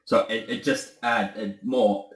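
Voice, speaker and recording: A man hosting a casual interview, relaxed and agreeable, often answering with short yeahs. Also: fairly low pitch, slow, echoey room. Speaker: interview host. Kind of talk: casual conversation.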